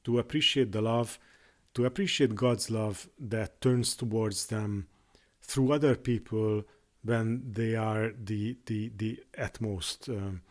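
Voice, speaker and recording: A man with a fairly low voice, a native Hungarian speaker talking without a script. He speaks haltingly, stumbling over restarts and filling pauses as he works his way through each thought. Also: steady tone. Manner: halting, many restarts and fillers. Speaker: YouTuber. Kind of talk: unscripted monologue on faith.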